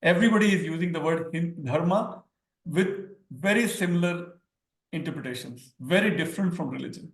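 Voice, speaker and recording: An Indian-accented man whose first language is Hindi lays out ideas in an explanatory way, repeating words for emphasis. His voice is gravelly and sits a little high. The recording sounds echoey, as if made in a reflective room. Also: fairly quick. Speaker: scholar guest. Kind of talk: academic discussion.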